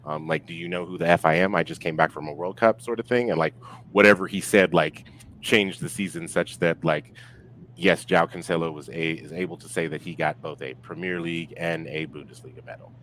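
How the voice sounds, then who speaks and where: A man chatting casually about sport as a podcast guest, speaking in a halting, reflective way and circling back to rephrase. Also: sits low, fairly even intonation, a bit bright, slight background noise, low-quality recording. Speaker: podcast guest discussing football.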